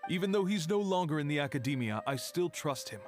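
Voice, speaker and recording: An actor voicing a cautious male archive keeper in a video game, speaking in a measured, guarded way. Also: on the high side, animated, soft background music, close to an everyday voice.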